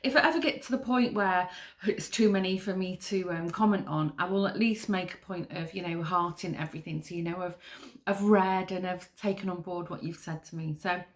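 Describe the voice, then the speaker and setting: A woman's voice: on the low side, fairly even intonation, fairly quick. A middle-aged woman from Manchester with a northern English accent, hosting her own craft podcast in a chatty, rambling way with lots of fillers. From Manchester, England. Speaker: podcast host. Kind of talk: casual chat.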